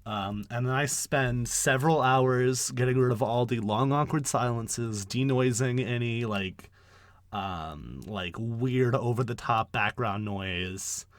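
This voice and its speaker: A man hosting a casual podcast, with a brash, low voice and a rambling way of talking full of fillers and restarts. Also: slow pace, no echo. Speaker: podcast host.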